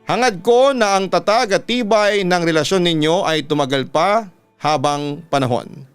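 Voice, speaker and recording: A Filipino man reading listener letters as a radio storytelling host, with a smooth, clear voice. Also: sits high, moderate pace. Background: instrumental music.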